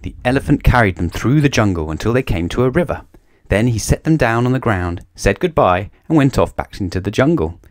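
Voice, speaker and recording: A man reading a children's storybook aloud with expressive narration. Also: on the low side, brisk.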